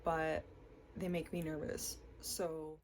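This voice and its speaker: A young woman talks to her camera with the blocked, nasal sound of a head cold. She speaks in a lowish voice at a slow, unhurried pace, rambling along with fillers. A faint hiss sits under the recording. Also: little pitch movement. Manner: chatty, rambling with many likes and ums. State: stuffy, congested from a cold. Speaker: vlogger. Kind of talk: casual chat to camera.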